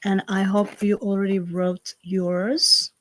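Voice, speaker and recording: Slow-talking woman with Slovak accent. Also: medium pitch, little pitch movement, dry recording. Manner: slow, gentle instructions with frequent fillers. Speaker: spiritual ritual host. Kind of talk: live-streamed ritual guidance. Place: home in the south of France. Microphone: computer on a live stream.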